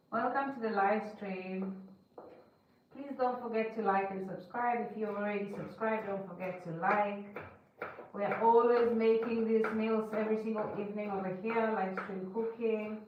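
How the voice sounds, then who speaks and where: A woman's voice: medium pitch, fairly even intonation, unhurried, long pauses. A woman hosting a live cooking stream, greeting viewers in a chatty, welcoming way and laughing often. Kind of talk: casual chat with viewers. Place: home kitchen. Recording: very echoey, distant, muffled, faint hum.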